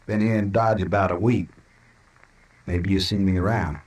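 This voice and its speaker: Cold frontier hired killer, American, deep and husky, clipped and taunting, old radio Western. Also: male voice, steady tone, moderate pace, a little mellow. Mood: taunting, surly. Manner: clipped, taunting, rustic speech. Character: cold hired killer, drifting Pecos gunman, menacing. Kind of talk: 1950s Western radio drama acting.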